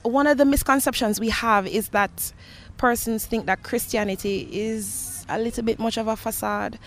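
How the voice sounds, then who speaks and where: A woman's voice: on the high side, fairly even intonation, moderate pace, fairly bright tone. Earnest young Jamaican woman, noisy location. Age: young adult. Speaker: gospel singer, interviewee. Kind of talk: interview answers, personal testimony. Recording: noisy location with ambient sound.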